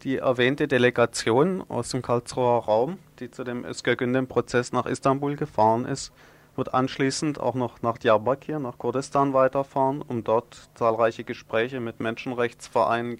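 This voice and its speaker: Male radio host, medium pitch, presenting a news magazine and interviewing. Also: fairly even intonation, normal speed.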